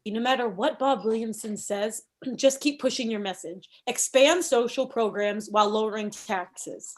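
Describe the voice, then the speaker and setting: A woman's voice: a little high, normal speed, close to an everyday voice. A woman playing a confident, cynical political campaign manager in a comedy table read. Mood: pushy.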